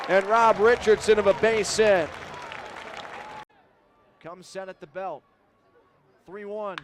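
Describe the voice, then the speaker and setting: An American man doing live radio play-by-play sports commentary, in clipped phrases that build to excited shouts. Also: high-pitched. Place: sports venue broadcast position. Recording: loud arena and crowd noise behind. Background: crowd and venue noise.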